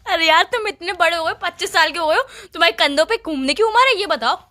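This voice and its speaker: A woman's voice: very high voice, fast, pushed and bright. A woman, playing an indignant, pouty girlfriend who scolds and argues in an acted phone-call comedy. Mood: scolding. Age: 20s.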